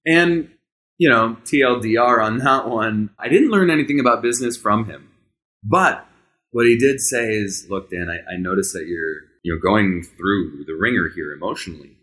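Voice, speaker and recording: A fairly low-pitched man in his thirties, a native Hebrew speaker, hosting his own podcast. He tells a personal story candidly, sometimes rushing ahead and sometimes stretching his words out. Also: fairly bright tone. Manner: candid, conversational, tempo shifts between rushed and drawn out. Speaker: podcast host.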